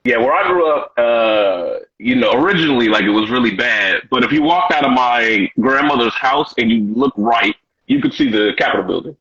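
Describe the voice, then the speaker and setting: A bright, husky-edged male voice tells loose, slangy stories full of "you know" and "man". He is a stand-up comedian from Washington, D.C., in his thirties, talking as a guest. The audio is dull and lo-fi. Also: medium pitch, animated, normal speed. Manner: laid-back slangy storytelling with frequent "you know". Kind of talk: casual interview chat.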